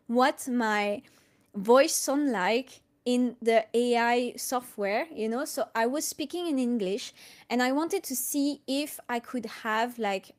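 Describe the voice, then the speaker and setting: A woman from France with a French accent, answering questions casually on a live stream and haltingly thinking aloud as she goes. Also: fairly high pitch. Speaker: colorist hosting a live Q&A.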